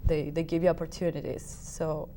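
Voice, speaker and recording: A woman's voice: sits low, little pitch movement, moderate pace, dark tone, slight background noise. A woman with a Uruguayan Spanish accent, a native Spanish speaker, answering interview questions with many restarts and fillers. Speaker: opera singer, guest.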